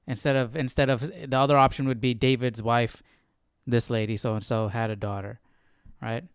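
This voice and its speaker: A man's voice: medium pitch, little pitch movement, moderate pace, clear, resonant tone, no room sound, clean studio sound. A man hosting a live Bible study, casually musing and thinking aloud in a puzzled way.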